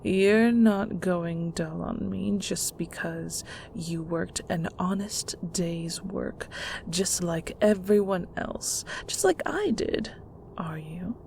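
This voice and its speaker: A woman playing a controlling, middle-aged mother in an audio roleplay, with condescending sweetness and a patronizing, deliberate delivery. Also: lowish voice, creaky, darkish tone, a little hiss or hum. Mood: stern interrogation. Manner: patronizing, slow, deliberate interrogation.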